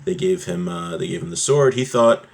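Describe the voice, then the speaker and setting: A man with a fairly low voice leads an informal religious text lesson. He reads short source phrases, then explains them in plain terms, repeating and rewording his points and asking questions he goes on to answer. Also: normal speed, gravelly. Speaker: religious teacher leading a class.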